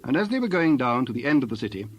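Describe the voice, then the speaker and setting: A man narrating dramatized scripture in a formal, measured storytelling style. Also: medium pitch, sing-song, highs cut off, a little hiss or hum.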